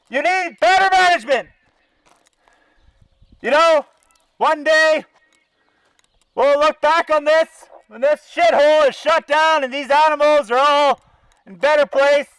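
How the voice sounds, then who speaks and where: A man's voice: very high voice, fairly bright tone, dry recording, poor audio. A man with a Canadian accent delivers an indignant, sarcastic, sweary protest rant as a confrontational activist, through a bullhorn outdoors. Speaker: animal-welfare activist. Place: outdoors by a zoo fence.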